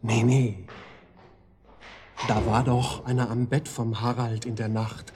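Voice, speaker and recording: Radio drama role: rambling male psychiatric patient turned informant , played by an actor, colloquial, halting, medium pitch, noisy ambience. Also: little pitch movement, lightly acted. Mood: confiding, suspicious. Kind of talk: German radio drama acting.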